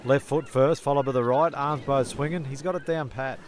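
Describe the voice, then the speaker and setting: A man's voice: mid-range voice, fairly even intonation, brisk, darkish tone, a little hiss or hum, close to an everyday voice. A man playing a laid-back, literal-minded mate in an audio comedy, talking in a blokey, matter-of-fact way. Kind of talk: comedic audio drama dialogue.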